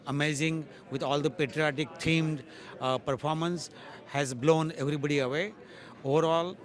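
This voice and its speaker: A man's voice: a little high, a bit bright. A man with an Indian accent giving formal, celebratory remarks to camera as a guest at a community event.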